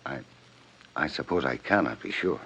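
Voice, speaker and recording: An actor playing a guilt-ridden, formal, wealthy older father in a 1950s radio drama, speaking with measured diction. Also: rumbling low, expressive, bright, forceful tone, slightly rough, heavily acted. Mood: resigned. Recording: muffled vintage radio recording.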